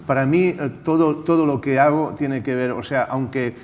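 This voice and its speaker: A man's voice: mid-range voice, normal speed, darkish tone. A man with a Catalan accent, a theatre director talking on a discussion panel, stumbling and restarting often. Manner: hesitant, many restarts and repeated words. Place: auditorium at a round table. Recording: reverberant hall.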